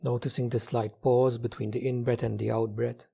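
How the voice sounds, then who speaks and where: A man leading a guided meditation as a teacher, speaking gently in short phrases with long pauses. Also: mid-range voice, flat delivery, vocal fry, breathy edge. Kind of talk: guided meditation, slow spoken instruction.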